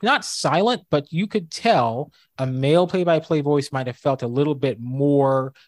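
A man with a fairly high voice, co-hosting a casual talk show and thinking aloud with frequent restarts and fillers. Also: a little slow, dry recording, clean studio sound. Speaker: co-host and former college professor. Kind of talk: casual podcast debate.

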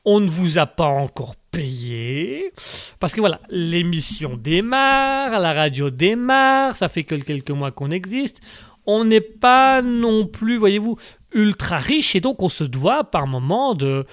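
A man's voice: sits high, big pitch swings, slow, no echo. A man hosting a comedic talk radio show, playful and full of hesitant fillers. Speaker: radio host.

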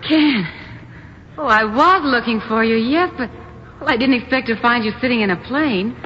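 A woman's voice: a little high, normal speed, natural voice. An actress playing a poised, inquisitive woman alongside a spy in a 1950s radio drama, with a refined, arch manner. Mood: arch, puzzled. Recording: muffled, noisy old radio broadcast.

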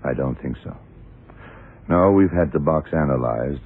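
An actor playing a blunt, dry police detective in a 1970s radio crime drama, questioning in a clipped, matter-of-fact way. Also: male voice, very deep voice, a little slow, slightly rough, full character voice. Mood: puzzled, methodical. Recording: tinny, band-limited old radio recording.

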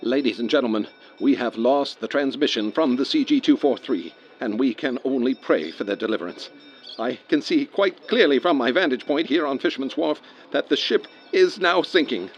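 A man's voice: mid-range voice, expressive, normal speed, highs cut off, slight background noise, close to an everyday voice. A man playing an eyewitness radio reporter in an old-time disaster broadcast drama, emotional and prone to stammering. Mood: grave. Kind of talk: 1930s radio live disaster commentary, acted.

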